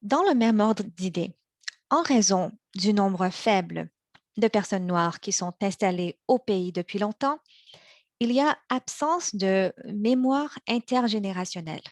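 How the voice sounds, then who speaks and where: A young woman born in China and raised in Montreal, giving a prepared practice speech in a measured way, slowing on key words, with small restarts. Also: mid-range voice, no echo, clean studio sound. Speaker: practice speaker for interpreters.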